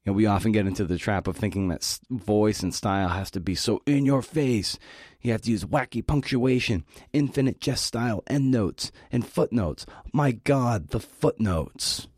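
Deep-voiced man giving a podcast talk. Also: moderate pace, a little mellow, breathy edge, dry recording, clean studio sound. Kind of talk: conversational scripted talk.

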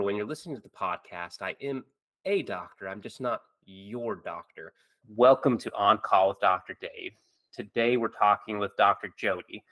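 Low-voiced man hosting a podcast. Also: a bit bright. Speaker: podcast host, doctor. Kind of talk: conversational podcast interviewing.

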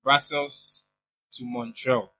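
A man's voice: medium pitch, little pitch movement, slow pace, pushed and bright, faint music behind. A man hosting a podcast, introducing his guest and asking interview questions in a polite, encouraging manner.

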